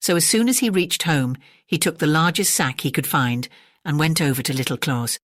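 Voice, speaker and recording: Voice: mid-range voice, normal speed, a bit bright, no room sound. Storyteller reading a fairy tale, dry close recording. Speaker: storyteller, audiobook narrator. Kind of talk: scripted fairy tale narration.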